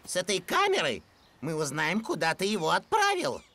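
A woman voicing a small, fussy male magic creature in a dubbed cartoon, bossy and quick to outbursts. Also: lowish voice, exaggerated voice. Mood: coaching. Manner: bossy, coaching, excitable outbursts. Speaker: dubbing actor. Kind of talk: cartoon dub acting. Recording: music bed under dialogue.

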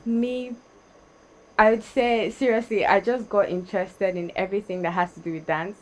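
A woman's voice: mid-range voice, fairly even intonation, normal speed, crowd noise, rough recording. A young woman from Nigeria, a dancer being interviewed, chatting casually and laughing often on a studio set. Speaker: guest, dancer.

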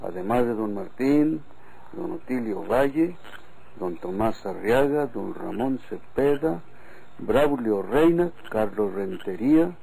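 Casting: complacent Mexican country husband, gravelly mellow mid voice, colloquial, old radio serial. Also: male voice, mid-range voice, expressive, slow pace, rough recording. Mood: complacent, reassuring. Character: easygoing, trusting, sleepy country husband. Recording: old radio recording, faint noise.

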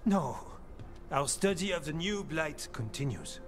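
An actor playing an earnest young male elf alchemist in a video game, speaking in a thoughtful, measured way. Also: fairly high pitch, lively intonation, fairly bright tone. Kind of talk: video game dialogue acting. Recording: music behind the voice.

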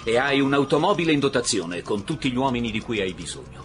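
Blustering police chief, swooping intonation. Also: male voice, mid-range voice, sing-song, fast talker, a bit bright, soft background music. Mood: commanding. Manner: insistent, commanding. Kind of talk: Italian radio drama acting.

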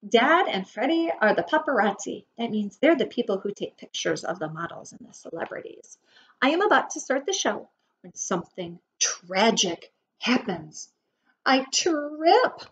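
Animated high-voiced woman reading to preschoolers. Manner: dramatic emphasis, pausing to explain words simply. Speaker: preschool teacher reading aloud. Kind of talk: expressive picture-book read-aloud for young children. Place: indoor room at home. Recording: dull webcam audio with faint noise.

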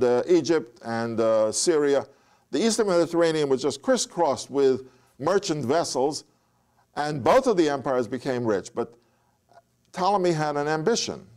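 An older man giving a recorded history lecture in front of a chalkboard, telling the story with animated gestures and frequent restarts. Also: medium pitch. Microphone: lapel.

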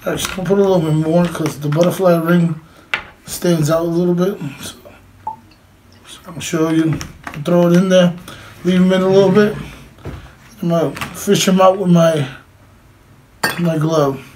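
A man's voice: sits high, fairly even intonation, moderate pace, pauses often, a little mellow. A middle-aged man talking casually and plainly to camera as he gives a hands-on demonstration indoors. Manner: informal, plain-spoken. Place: indoors, small room with cloth backdrop. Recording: clearly noisy home recording.

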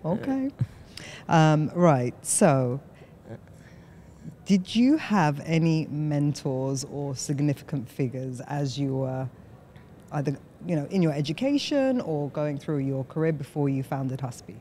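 A woman hosting a podcast interview, chatty and quick to laugh, often filling with "like", on a boom-arm studio mic in an office lounge. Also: lively intonation, dark tone, a little hiss or hum. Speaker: podcast host. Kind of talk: conversational interview.

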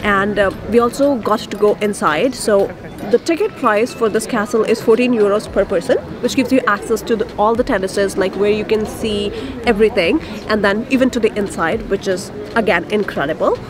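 A woman's voice: medium pitch, moderate pace, crowd noise. A young adult woman talking casually as a travel vlogger. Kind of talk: casual travel vlog chat and narration. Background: loud background music.

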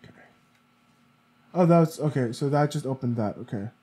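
A man's voice: mid-range voice, lively intonation, moderate pace, warm tone, breathy edge. A sniffly, congested man giving casual gameplay commentary, muttering to himself as he plays. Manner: muttering to himself while playing. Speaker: let's play gamer.

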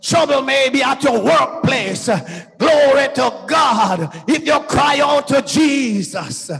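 A man preaching a fervent sermon, shouting and sliding into a half-sung cadence. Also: very high-pitched, vocal fry. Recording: long hall reverb with faint music.

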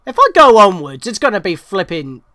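Animated young man doing game commentary. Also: high-pitched, fairly quick, no echo, studio quality. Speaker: let's play host.

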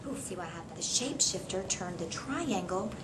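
A woman's voice: on the low side, expressive, normal speed. A middle-aged woman reads a storybook aloud to a young child as a teacher, encouraging and prompting with questions. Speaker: teacher reading aloud to a kindergartener. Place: small indoor classroom reading corner. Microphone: camera a few meters away. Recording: noisy room, distant camera microphone.

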